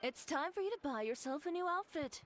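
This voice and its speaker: An actress playing a poised, perceptive woman tailor in a video game, speaking in a polite, measured, persuasive way. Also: high voice, lively intonation, soft background music. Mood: encouraging, assured. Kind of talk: scripted video game character dialogue.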